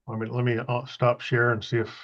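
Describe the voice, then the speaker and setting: A man's voice: medium pitch, monotone, brisk, a bit bright. A man giving a casual, tentative explanation in an online group meeting over a video call. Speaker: presenter sharing job-search tool experience. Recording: slightly muffled video-call audio.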